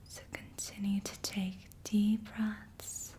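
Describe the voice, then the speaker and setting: A woman speaking in a breathy whisper, giving slow, soothing ASMR relaxation guidance with gentle instructions. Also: level tone. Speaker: ASMR reiki practitioner. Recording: faint background noise with low rumble.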